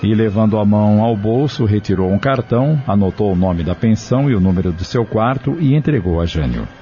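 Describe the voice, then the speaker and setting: A Brazilian man with a husky voice, reading scripted narration for an audio drama. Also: on the low side, expressive, brisk, dark tone, soft background music. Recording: dull lo-fi audio.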